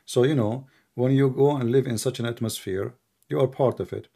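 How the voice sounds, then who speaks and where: A man with a gravelly, mellow voice hosts a call-in question-and-answer show. He talks straight to the caller and often stumbles over his opening words before getting to the point. His first language is Arabic. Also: mid-range voice, a little fast. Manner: direct, repeats words when starting.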